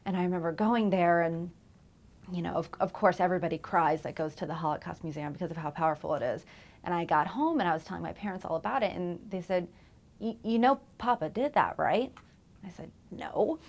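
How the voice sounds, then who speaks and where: A woman's voice: fairly low pitch, a little fast, darkish tone. A woman in her twenties answering interview questions in an office, earnest with some fillers. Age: 20s. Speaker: company employee.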